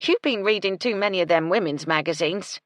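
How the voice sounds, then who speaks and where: A woman's voice: fairly high pitch, big pitch swings, moderate pace, a bit bright, no room sound, studio quality, voice pushed up. A woman voicing a brash, bossy young kitchen maid who scolds bluntly and swears freely. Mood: scornful.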